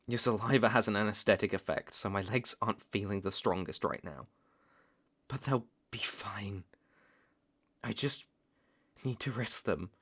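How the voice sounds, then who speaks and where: A man with a British accent playing a stern young vampire hunter, menacing yet reluctantly protective, in an ASMR roleplay with deliberate pauses and sighs. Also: on the low side, fairly bright tone. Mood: softening, tired.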